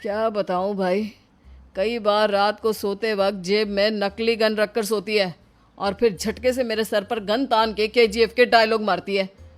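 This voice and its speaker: A man's voice: high voice, flat delivery, unhurried, faint music behind. A man playing an exasperated young husband in a story, weary and complaining.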